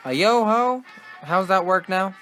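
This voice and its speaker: A man's voice: high voice, lively intonation, unhurried, fairly bright tone, faint music behind. A man playing a skeptical, wisecracking 1920s radio sports host, with folksy period slang and a sardonic manner. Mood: curious.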